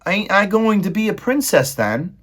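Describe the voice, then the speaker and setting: A man putting on a shrill, whiny serving girl. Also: big pitch swings, normal speed, fairly bright tone, husky, exaggerated voice. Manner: high, shrill, whining, coarse speech. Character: dim, weepy young serving girl, coarse and stubborn.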